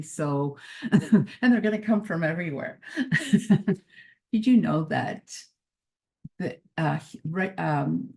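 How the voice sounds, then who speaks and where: A woman's voice: low-pitched, vocal fry, darkish tone. A middle-aged woman, originally Croatian-speaking, giving an upbeat motivational talk as a mindset coach, with frequent laughs and emphatic repeated phrases. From Canada, born in Croatia.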